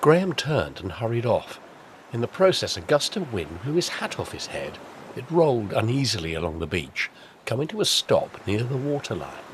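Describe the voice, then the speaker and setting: A man's voice: mid-range voice, sing-song, moderate pace, a little hiss or hum. A man narrating a scripted audio drama as a dramatic storyteller. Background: music.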